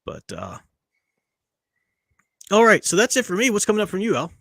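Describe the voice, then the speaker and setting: A man's voice: high voice, wildly swooping pitch, normal speed, creaky, a bit bright, dry recording, studio quality. A man hosting a casual live stream chat, rambling and joking, often breaking off mid-sentence. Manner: rambling, self-interrupting, joking. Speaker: podcast host.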